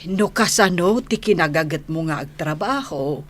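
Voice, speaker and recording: An actress playing a caring mother advising her grown son in a radio drama, motherly and gently admonishing, with an Ilocano Filipino accent. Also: lowish voice, animated, moderate pace, a little hiss or hum, close to an everyday voice. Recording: soft music behind dialogue.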